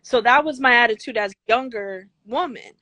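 Blunt woman with a high, girlish voice. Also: fairly quick, pushed and bright, no echo. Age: older. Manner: blunt, emphatic, profane. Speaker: guest. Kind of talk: candid interview talk.

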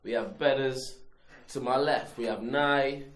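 A man with a slightly raspy voice hosts a relaxed group chat, throwing playful questions at his friends. His voice sits in a middle pitch and rings in an echoey room. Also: a little slow. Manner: laughs often, playful questioning. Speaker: podcast host.

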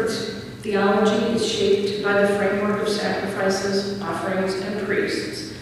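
Female church lector, measured formal scripture reading, long hall reverb, distant sound. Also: mid-range voice, darkish tone. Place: large reverberant church during a service. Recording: very echoey church, distant, faint noise.

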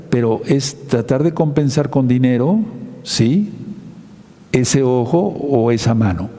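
A man preaching a sermon, teaching slowly and repeating his key phrases for emphasis. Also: medium pitch, mellow, in a large hall.

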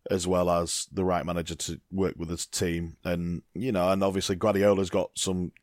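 A man's voice: low-pitched, level tone, moderate pace, darkish tone, no room sound, clean studio sound. A man co-hosting a casual football chat, rambling and leaning on "you know, I mean" as he goes. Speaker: football podcast co-host.

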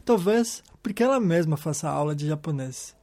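Mellow-voiced male podcast narrator. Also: on the high side, expressive, moderate pace. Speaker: podcast host. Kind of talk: scripted narration. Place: lives in Japan.

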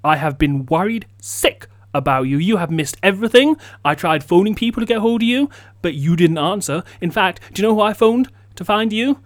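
A man hosting a radio show with lively, jokey, mock-dramatic banter, close on a studio microphone. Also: high voice, brisk, no room sound.

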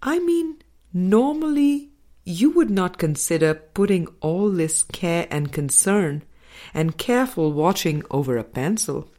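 Woman doing audiobook narration of spiritual text, low voice, slow and deliberate w pauses. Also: mellow. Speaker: audiobook reader. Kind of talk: scripted spiritual book narration, read aloud.

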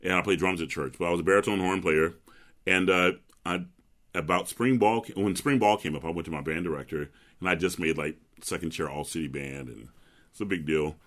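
Middle-aged male bass, very low and resonant, a laid-back storyteller who laughs easily. Interview guest. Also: fairly quick, fairly bright tone. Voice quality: deep resonant bass speaking voice. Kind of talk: relaxed interview chat.

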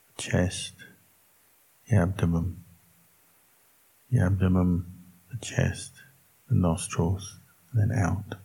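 Calm monk guy doing guided meditation, really flat and husky, measured short phrases w long pauses. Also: low-pitched, poor audio. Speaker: Buddhist monk teaching meditation.

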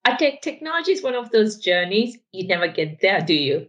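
Sri Lankan-accented woman in her 40s, mid pitch, frank and thoughtful podcast guest. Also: very animated, a little fast, dull audio. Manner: thoughtful, frank, with hesitant fillers. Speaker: technology executive, guest. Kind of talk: podcast interview.

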